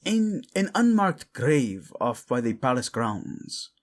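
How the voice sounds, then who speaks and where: A man's voice: mid-range voice, big pitch swings, slow. A man playing a haughty, brooding Spanish-accented prince. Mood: exasperated. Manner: haughty, curt, sardonic. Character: brooding, proud young prince, defensive about his dead brother. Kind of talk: dramatic romantic audio drama acting.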